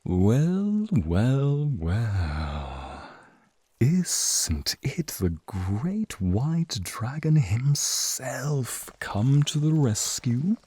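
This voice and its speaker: A man voicing a flirtatious, teasing male naga in an ASMR roleplay, speaking in a seductive, breathy, drawn-out way to the listener. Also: medium pitch, sing-song, dark tone, dry recording, voice pushed up, exaggerated voice. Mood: smug, amused. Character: flirtatious, teasing male naga, crimson-eyed protective companion. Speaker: voice actor.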